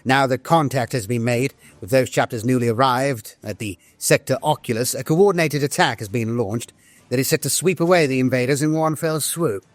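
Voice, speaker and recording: A man playing a grave veteran military officer, reading a war journal in a formal, measured, dramatic style. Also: medium pitch, dry recording, natural voice. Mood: grave, hopeful. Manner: solemn, formal, measured delivery. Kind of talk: scripted dramatic audio-drama narration.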